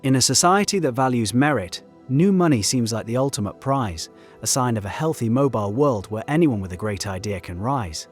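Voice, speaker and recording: A man delivering scripted video-essay narration in measured, rhetorical short phrases. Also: mid-range voice, lively intonation. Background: background music.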